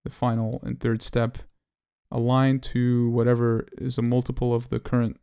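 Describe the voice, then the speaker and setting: A man presenting an unscripted software tutorial as its developer, explaining technical detail in a halting, hesitant way. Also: medium pitch, completely flat delivery, gravelly, smooth.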